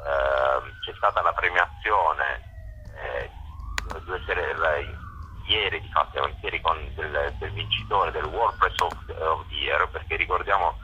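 A man's voice: extremely low pitch, unhurried, sharp tone, slight background noise. A man organizing a photo exhibition, speaking in a radio interview by phone, hesitant with many fillers and restarts. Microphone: phone line. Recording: dull phone line.

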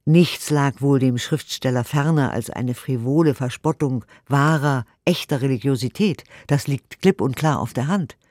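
A woman's voice: very deep voice, normal speed, hardly any pauses, darkish tone, no echo, clean studio sound. An actor in a radio play voicing an earnest, indignant woman who reads 1890s letters and commentary emphatically and articulately. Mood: indignant conviction. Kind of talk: dramatic radio play recitation of historical documents.

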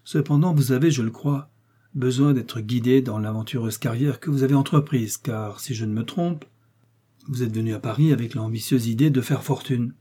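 A man reading an audiobook, voicing a powerful, scheming older cardinal who speaks in a smooth, persuasive, lofty way. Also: mid-range voice, normal speed, warm tone, lightly acted.

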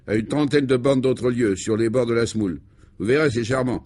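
A man's voice: medium pitch, a little fast, darkish tone, husky, close to an everyday voice. A man voicing a breezy, boastful male friend with a hearty, slangy, eager way of talking, in a comic radio serial. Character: breezy, boastful, enthusiastic male pal on the telephone.

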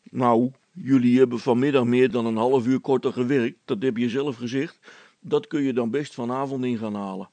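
A man's voice: medium pitch, fairly even intonation, moderate pace, a little mellow, dry recording, close to an everyday voice. A man voicing a bossy, scheming village policeman with a self-important, colloquial manner in a children's story.

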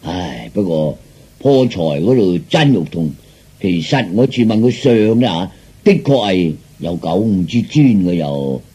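A henpecked old Cantonese uncle from a comic radio play. His voice is deep and husky, with a mellow dullness. He sighs and hesitates, feeling sorry for himself while clinging to grand dreams. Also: big pitch swings, full character voice. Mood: sheepish, sighing. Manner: sighing, hesitant, slow and self-pitying.

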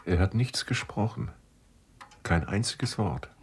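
A man's voice: low-pitched, animated, slow, breathy edge, heavily acted. A man playing a composed, observant guest in a German radio drama, narrating reflectively and matter-of-factly. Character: composed, observant male guest recounting his stay.

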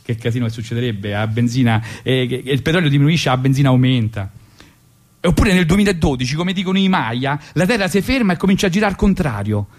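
A man's voice: mid-range voice, animated, quick pace. A man with a Roman accent hosting a radio show in an ironic, colloquial comic monologue style, rambling and repeating himself.

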